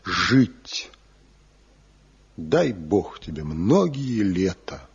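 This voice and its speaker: A man's voice: low voice, big pitch swings, drawn-out words, gravelly, husky, full character voice. An actor playing a defiant, embittered craftsman and rival in a Soviet radio play, with a challenging, theatrical delivery. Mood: bitter, cold. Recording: dull, muffled 1970 radio play recording.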